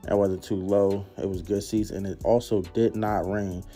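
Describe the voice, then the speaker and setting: A man's voice: lowish voice, fairly even intonation, normal speed, over music. A man giving a laid-back, slangy voice-over recap of his night out. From Philadelphia, United States. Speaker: concertgoer narrating his vlog.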